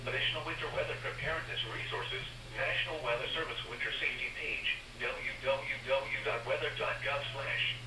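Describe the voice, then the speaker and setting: A man reading scripted public information as a weather radio announcer, in a formal, measured, synthetic-sounding delivery over the radio. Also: medium pitch. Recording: roomy, distant, noisy weather radio broadcast.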